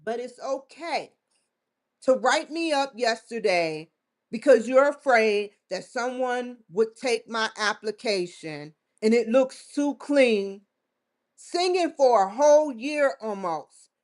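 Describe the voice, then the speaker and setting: A woman's voice: on the high side, animated, takes it slowly. A woman vlogger giving a defiant, confrontational monologue straight to the camera, swearing often. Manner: defiant, confrontational, with frequent profanity. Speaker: vlogger hosting her own show.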